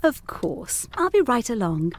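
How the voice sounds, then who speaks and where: Young governess played by a woman, gothic period drama, highish pitch, lively intonation, formal, earnest, protective. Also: heavily acted. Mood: kindly. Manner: formal, measured period diction. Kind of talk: audio drama acting of a gothic period story.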